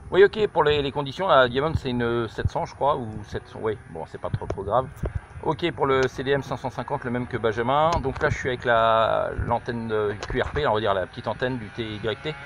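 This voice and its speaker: Fast-talking middle-aged man, noisy outdoors. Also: mid-range voice. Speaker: amateur radio operator testing a handset. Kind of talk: informal radio contact, field test. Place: outdoors on a high viewpoint over countryside. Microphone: camera held just behind his shoulder. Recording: noisy outdoor phone camera, clicks.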